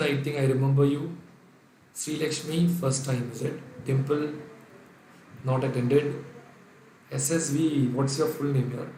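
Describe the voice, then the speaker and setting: A man's voice: a little high, a little mellow, reverberant, slight background noise, poor audio. A man from Kerala with an Indian English accent and Sindhi as his first language, giving a live online revision lecture. He dictates the working step by step and reads out viewers' names, answering them as he goes. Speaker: finance lecturer.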